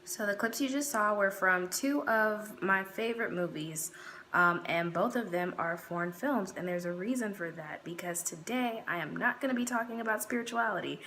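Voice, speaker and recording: A woman's voice: medium pitch, normal speed. A woman with a Midwestern American accent, chatting casually to camera with plenty of fillers. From United States. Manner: relaxed, chatty, many fillers. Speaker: vlogger.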